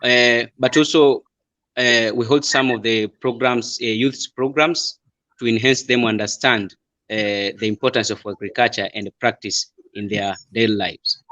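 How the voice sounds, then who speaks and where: A man on a panel speaks slowly, filling pauses with "uh". His voice is bright and forward, recorded close and dry. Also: mid-range voice, steady tone, dry recording, poor audio.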